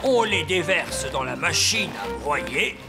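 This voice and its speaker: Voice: medium pitch, very animated, takes it slowly. An actor voicing a proud, eager male elf guide in a French cartoon, announcing like a showman. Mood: proud, explaining. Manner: exclamatory showman announcing a tour. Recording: loud cartoon music behind.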